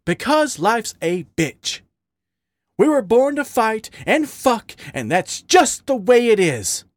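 A man's voice: high voice, wildly swooping pitch, slow pace, no echo, voice pushed up, full character voice. A man voicing a bitter, lovesick male raccoon in a dramatic story reading, goading and pleading in a world-weary way. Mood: snarling, goading. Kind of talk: dramatic audiobook character reading.

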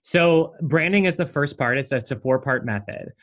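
A man's voice: mid-range voice, normal speed. Man animatedly explaining as an interview guest. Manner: animated explainer, frequent "like" asides. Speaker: branding coach guest. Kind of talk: conversational interview.

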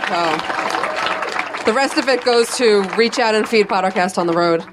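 A woman's voice: mid-range voice, normal speed, crowd noise, noisy background. Brief: bubbly woman, live podcast co-host, chatty, laughing, audience murmur behind.

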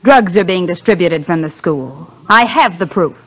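An actress in a 1950s radio drama playing a cool, brazen blackmailer with a hard-boiled, taunting manner. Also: female voice, lowish voice, lively intonation, moderate pace, rough recording. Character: cool, brazen female blackmailer, a blues singer.

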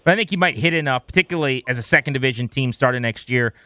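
A man's voice: mid-range voice, rapid-fire, sharp tone, no room sound. A man hosting sports talk radio, posing questions and laying out his analysis.

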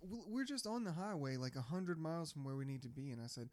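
A podcast host in loose conversation, blunt and teasing, dropping curse words freely and breaking into laughter often. The voice sits at an ordinary middle pitch. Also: animated, fast talker, a little mellow, dry recording, studio quality. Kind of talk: casual podcast chat.

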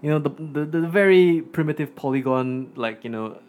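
A man's voice: fairly high pitch, expressive, normal speed, darkish tone. A man from Singapore with a Singaporean English accent, co-hosting a casual film review podcast and teasing his co-host with jokes. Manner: joking, teasing, calls his co-host "sir".

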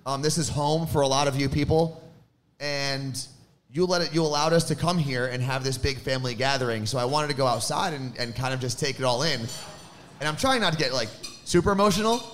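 A man's voice: medium pitch, fairly quick, fairly bright tone. A young man hosting a live podcast before an audience, chatty and joking. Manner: laughing, jokey banter. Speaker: radio host.

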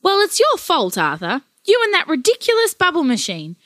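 A woman voicing an exasperated, nagging grandmother, sharp and scolding. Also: fairly high pitch, big pitch swings, brisk, dry recording, studio quality.

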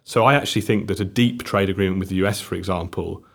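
A young man from South Wales with a South Welsh accent, explaining economics on a discussion panel in a measured way that stumbles and restarts. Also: low voice, gravelly. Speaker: panel guest.

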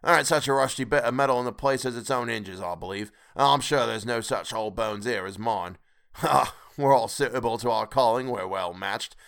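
A man's voice: mid-range voice, moderate pace, creaky, a bit bright, clean tone. A man voicing a sly, gray-haired old rascal of about seventy, folksy and wheedling, in a storybook reading. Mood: jovial, welcoming.

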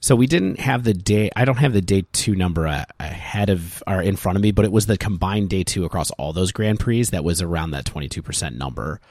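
A man with a very low voice talks through figures at length on a podcast. He is thoughtful and casual, recorded close with a dry, dead sound. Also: a little fast, hardly any pauses, darkish tone, no echo. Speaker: podcast co-host.

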